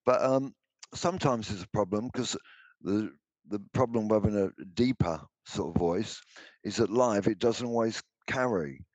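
Deep-voiced older man, halting. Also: a little mellow, no room sound, poor audio. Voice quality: deep baritone voice. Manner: hesitant, many restarts and ums. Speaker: musician guest. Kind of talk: interview answers.

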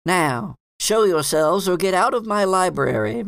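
A man's voice: sits high, animated, unhurried, creaky, a bit bright, no echo, clean studio sound, pitched above the performer's own voice, exaggerated voice. A man voicing a stern, suspicious female librarian in a tabletop game, imperious and indignant. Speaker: game master voicing a character.

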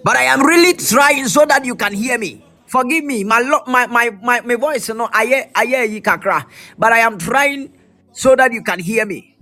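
A man preaching and prophesying, fervent and repetitive, his voice hoarse and partly lost. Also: extremely high pitch, normal speed. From Ghana. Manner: fervent, repetitive, shouted declarations. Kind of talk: prophetic sermon with prayer.

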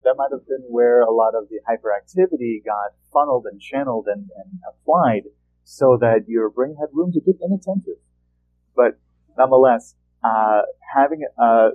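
A male therapist hosting a podcast, explaining treatments in a measured, patient way. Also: mid-range voice, dry recording. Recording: tinny phone-like line.

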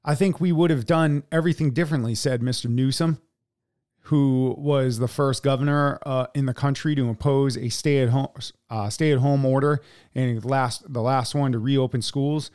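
A man's voice: mid-range voice, steady tone, a little mellow, clear, resonant tone. Man reading news with sardonic asides. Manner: sardonic asides, halting with many fillers. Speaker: podcast co-host. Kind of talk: reading a news article aloud on a podcast.

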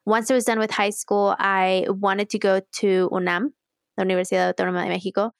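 A woman's voice: mid-range voice, flat delivery, brisk, gravelly, smooth, dry recording, clean studio sound. A woman from Mexico City telling personal stories as a podcast guest in an informal, candid way. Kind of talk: casual personal storytelling.

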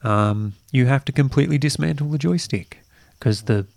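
Male co-host, nasal with a head cold, relaxed and wry. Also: mid-range voice, moderate pace, vocal fry, no room sound. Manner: dry, teasing humor. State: stuffy nose from a cold. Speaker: podcast co-host. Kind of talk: casual chat.

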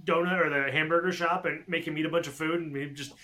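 A man's voice: on the high side, steady tone, fast talker, pushed and bright. A man co-hosting a podcast in casual, joking chat.

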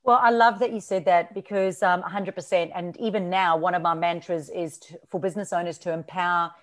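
A woman's voice: on the low side, steady tone, a little fast. A woman from South Africa with a mixed South African and Australian accent, chatting as a podcast guest with frequent ums and laughs. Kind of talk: casual interview chat.

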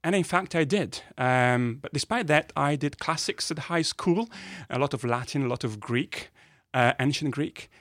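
A man's voice: fairly high pitch, moderate pace, few pauses, fairly bright tone, no echo. Italian man with a dry, close sound. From northern Italy. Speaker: guest physicist. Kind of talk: podcast interview, explaining science conversationally. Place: podcast studio.

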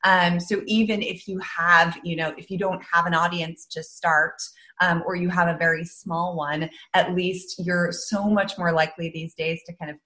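Thoughtful lower-voiced woman author. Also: little pitch movement, pushed and bright. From the Netherlands. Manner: thoughtful, measured, with frequent ums. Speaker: author and guest. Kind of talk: interview conversation.

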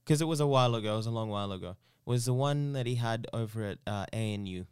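A man's voice: medium pitch, fast, darkish tone, clear, resonant tone, dry recording, studio quality. A man from Uganda chatting casually as an interview guest, rambling and repeating himself with lots of "you know".

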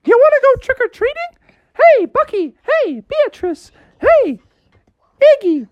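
A squeaky, giddy frog kid in a storybook reading, as a woman puts him on. He chatters in a very high voice that leaps around in pitch. The sound is dry and close. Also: a little slow, dry recording, lo-fi sound, exaggerated voice. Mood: excited. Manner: squeaky, excitable, laughing. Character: giddy high-pitched frog boy dressed as a cartoon mouse.

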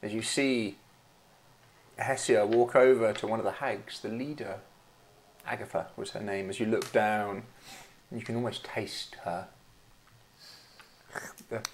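A man running a tabletop game as game master, laughing often and dropping to a slow, hushed delivery for dark narration. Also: lowish voice, slight background noise.

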